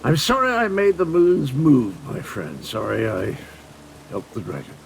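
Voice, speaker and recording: A gaunt, dotty undead gentleman in a fantasy game. The voice is fairly high and gravelly and swoops wildly, with pompous, florid theatrical phrasing. Faint ambient noise sits behind it. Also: huge pitch swings, a little slow, heavily acted. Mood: guilty, ashamed. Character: flamboyant, eccentric gaunt undead gentleman, dotty former villain. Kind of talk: theatrical video game character acting.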